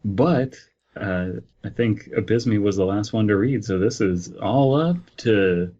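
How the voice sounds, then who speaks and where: Guy with a lowish voice joking around on a podcast, bit dull lo-fi mic. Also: measured pace, warm tone. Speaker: podcast co-host. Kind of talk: casual joking chat. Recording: slightly dull lo-fi microphone.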